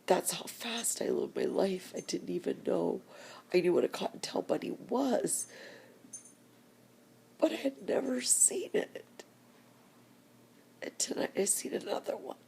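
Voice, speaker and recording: An older woman crying softly as she talks to her camera in a personal vlog, whispering slowly with deep emotion. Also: very animated, creaky, slight background noise. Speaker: vlogger.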